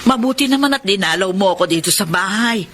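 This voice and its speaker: A woman's voice: medium pitch, lively intonation, quick pace, fairly bright tone, slight background noise, natural voice. An actress playing a fond, homely older mother in a radio melodrama. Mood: fond, welcoming. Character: affectionate older mother welcoming her grown child. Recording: studio radio drama with music underneath. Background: clear background music.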